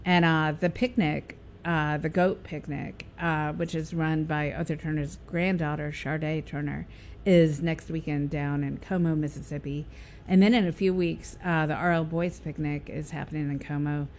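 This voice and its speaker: Low-voiced chatty woman. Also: fairly even intonation, normal speed, darkish tone, slight background noise. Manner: chatty, many fillers and restarts. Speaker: radio guest. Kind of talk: casual radio interview.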